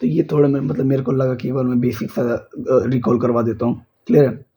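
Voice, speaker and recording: A hoarse man, teaching an online chemistry class and often asking if students follow. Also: mid-range voice, fairly even intonation, a little fast, warm tone, slight background noise. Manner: repeats checks like "clear?" and "okay?". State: hoarse from a cold. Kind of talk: online exam-prep lecture. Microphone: speaker's mic is faulty.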